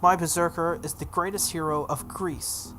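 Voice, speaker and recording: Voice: mid-range voice, steady tone, normal speed, bright, forceful tone, faint music behind. A let's play host voicing a smug, cruel young girl who taunts and commands in a visual novel. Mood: boastful. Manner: taunting, imperious.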